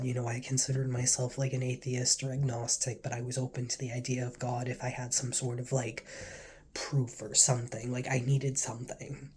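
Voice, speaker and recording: A man with a cold and a stuffy nose, telling his faith testimony in a casual, earnest, rambling way, now and then dropping to a soft whisper. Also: medium pitch. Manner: earnest, rambling, heavy with fillers. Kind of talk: casual spoken testimony to camera.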